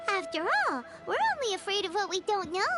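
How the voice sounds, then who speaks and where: A woman voicing a tiny, childlike, pert and curious fairy companion in a video game, with a squeaky voice. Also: animated, a little fast, loud music behind the voice. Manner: third-person self-reference, pert. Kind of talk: video game character dialogue.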